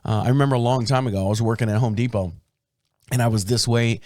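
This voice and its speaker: A man in a podcast studio, his voice a little raspy from a sore throat and sitting at a middle pitch. He rambles loosely and often breaks off his sentences. The sound is dry and close, with no room echo. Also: fairly even intonation, fast, a little mellow. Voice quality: deep. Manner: rambling, self-interrupting storyteller. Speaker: podcast host. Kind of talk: casual solo podcast talk. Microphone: studio microphone.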